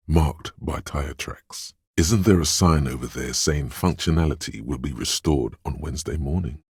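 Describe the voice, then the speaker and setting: A man voicing the inner sense of perception in a video game, narrating what the hero notices in a measured, observant, second-person way. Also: very deep voice, husky, dry recording, heavily acted. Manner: measured, observant, softly descriptive delivery. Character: the hero's inner sense of perception, male, watchful and calm. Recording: dry close studio recording.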